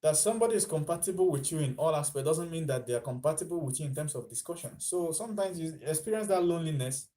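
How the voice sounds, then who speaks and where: A man's voice: a little high, expressive, fast talker, few pauses, warm tone. A Nigerian man giving casual vlog commentary, chatty and dropping into Pidgin as he talks. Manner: chatty, mixes in Pidgin, laughs at his own lines. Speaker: vlogger.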